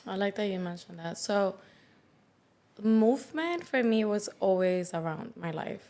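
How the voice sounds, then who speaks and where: A young woman from the Dominican Republic, a wellness coach talking as a podcast guest, quick and chatty with lots of "like.". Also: medium pitch, expressive, warm tone. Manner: quick, chatty, many "like" fillers. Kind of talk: casual interview chat.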